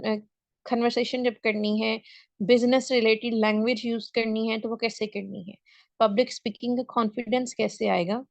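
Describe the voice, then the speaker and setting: A woman's voice: a little high, dry recording. A woman teaching an English speaking class over a video call. She explains step by step and keeps checking that the students are following. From Pakistan. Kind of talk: online class lecture.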